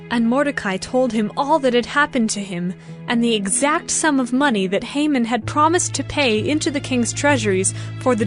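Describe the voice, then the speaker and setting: A woman narrator reading scripted Bible narration in a measured, solemn storytelling manner. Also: a little high.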